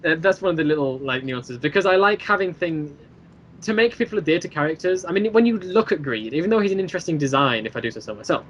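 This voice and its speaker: Male podcast guest, slightly high voice, halting and rambling, explains his creative work in a loose conversational way. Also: expressive, fairly bright tone, dull audio, a little hiss or hum. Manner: rambling, halting, repeats phrases as ideas form. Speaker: guest, creator of an audio drama series. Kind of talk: casual podcast chat, explaining own work.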